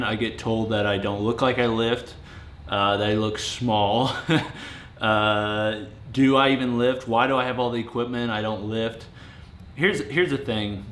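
A man's voice: medium pitch, moderate pace, fairly bright tone. Earnest young man in an echoey garage gym. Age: 20s. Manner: earnest, plainspoken, with fillers and restarts. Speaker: home gym reviewer. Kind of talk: casual vlog talk to camera. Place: home garage gym, indoors. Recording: roomy garage echo, faint noise.

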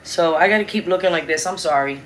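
A raspy-voiced woman in her forties with a drawl chats with livestream viewers and greets them by name while she cooks. Her voice sits fairly low, and she talks into a tablet propped on a home kitchen counter. Steady background noise runs under the talk. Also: fast talker, low-quality recording. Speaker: cooking livestream host. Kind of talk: casual livestream chat while cooking. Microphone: tablet on the counter, close.

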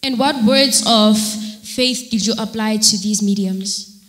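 A woman's voice: medium pitch, takes it slowly, fairly bright tone. A woman interviewing a church member, asking formal, polite questions.

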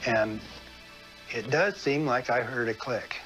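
A man's voice: medium pitch, takes it slowly, loud music behind the voice, rough recording. A man with an American accent giving a personal recollection in an interview.

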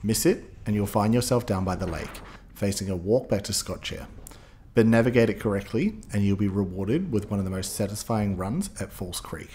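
A man's voice: fairly low pitch, animated, normal speed, dark tone, slight background noise. A man giving upbeat scripted voice-over narration, guiding listeners step by step like a ski guide.

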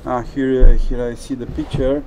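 A man interviewing inside a small caravan at a trade fair, speaking haltingly with many fillers and non-native phrasing. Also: medium pitch, level tone, warm tone, a little hiss or hum, rough recording. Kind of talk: casual walkthrough interview.

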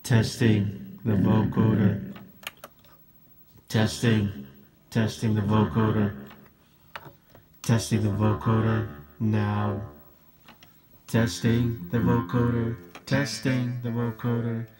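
Slow, robotic vocoder voice testing. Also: mid-range voice, monotone, warm tone, soft background music. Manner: repetitive mic-check delivery. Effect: vocoder, robotic synthesized processing. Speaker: musician demonstrating a synthesizer. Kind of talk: short repeated test phrases for a synthesizer demo. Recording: synthesizer tones over the voice. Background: synthesizer keyboard playing.

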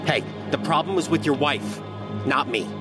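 A man's voice: on the high side, animated, moderate pace, over music, natural voice. A man playing a defensive, irritated working man in an audio drama.

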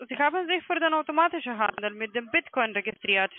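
A woman's voice: a little high, animated, fast, no room sound. A woman, a non-native German speaker with a foreign accent, making a sales call as a call centre agent. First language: not German. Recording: narrow phone-line sound.

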